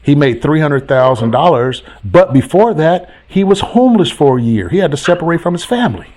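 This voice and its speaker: A man hosting a call-in chat as a real estate coach, talking in an animated, preachy way and repeating himself for emphasis. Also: on the high side, fairly quick.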